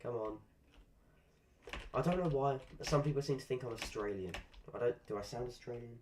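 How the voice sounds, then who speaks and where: A man's voice: mid-range voice, moderate pace, background noise. Chatty man streaming a game. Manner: chatty, swears at the game, rambling asides. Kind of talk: casual live-stream commentary. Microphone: desk microphone.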